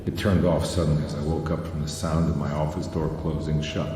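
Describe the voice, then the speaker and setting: An actor playing a weary, troubled, brooding 1930s private detective in a video game. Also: male voice, rumbling low, little pitch movement, brisk. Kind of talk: video game cutscene acting with brooding monologue. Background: music.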